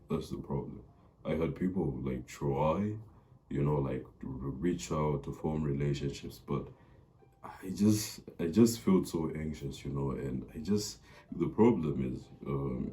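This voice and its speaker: Very deep-voiced hesitant young man. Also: dark tone. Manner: hesitant, full of fillers and restarts; earnest, confiding. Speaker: vlogger. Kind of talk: personal talk to camera.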